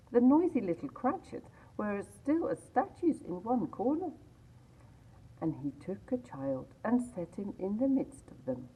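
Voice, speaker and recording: Low husky woman reading a storybook. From England. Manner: measured, expressive reading. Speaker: library reader. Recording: tinny phone-like sound.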